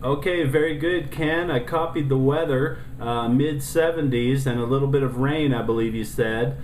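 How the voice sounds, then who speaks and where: An American man making a casual amateur radio contact, speaking in a measured, courteous way. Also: mid-range voice, a little mellow. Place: indoor room beside a transceiver. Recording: roomy sound with faint noise.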